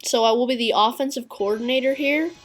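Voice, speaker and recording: A woman giving casual, halting gameplay commentary with a congested, sniffly voice and many filler pauses. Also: a little high. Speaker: gaming video creator.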